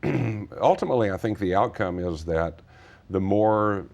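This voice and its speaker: A man's voice: lowish voice, lively intonation, normal speed, vocal fry, a little mellow. A middle-aged American man from Texas speaking as a guest in an interview, earnest and discursive as he explains things conversationally. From Texas, United States. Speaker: interview guest, nonprofit founder.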